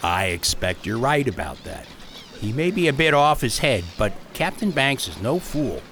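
Guy as a knowing gossip in a radio play, medium pitch, lively, slight noise behind. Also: normal speed. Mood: musing. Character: shrewd onlooker gossiping about pirates. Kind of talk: radio drama acting.

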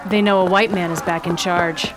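A woman's voice: on the low side, steady tone, normal speed, music in the background, lightly acted, poor audio. Woman acting a brash, slangy tough dame. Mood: scornful, tough. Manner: slangy, blunt, ungrammatical speech. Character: brash, hard-edged young working-class woman, pistol-carrying and prickly. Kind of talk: radio drama acting.

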